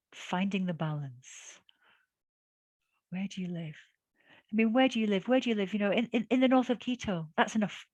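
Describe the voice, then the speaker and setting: A woman teaching an exam-prep lesson over a video call. She talks in a chatty, encouraging way, full of fillers and quick breaths. Her voice sits at an ordinary pitch, and the sound is dry and close. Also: a little mellow, dry recording. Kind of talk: online class instruction.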